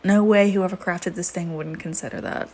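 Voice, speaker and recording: A woman voicing a flirty, teasing, predatory female vampire in an intimate audio roleplay, with playful banter and breathy murmurs. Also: on the low side, steady tone, normal speed, darkish tone. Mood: musing, teasing.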